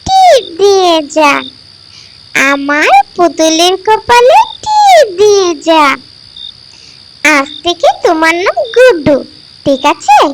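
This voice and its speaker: A woman's voice: extremely high pitch, wildly swooping pitch, takes it slowly, pauses often, very noisy, heavily acted. A woman voicing a sweet, obedient and lonely little girl in a children's fairy-tale cartoon, childlike and plaintive. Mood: tender, playful.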